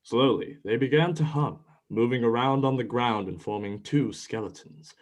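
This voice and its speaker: A man's voice: medium pitch, normal speed. A man reading scripted narration for a dramatized myth as its storyteller.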